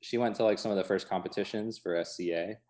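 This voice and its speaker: A man talking casually as an interview guest, in an informal, halting way full of hedging asides. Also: on the low side, steady tone, a little mellow. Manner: informal, halting, many hedging asides, chuckles through speech. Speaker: interview guest, coffee shop co-owner. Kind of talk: casual chat. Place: inside his cafe.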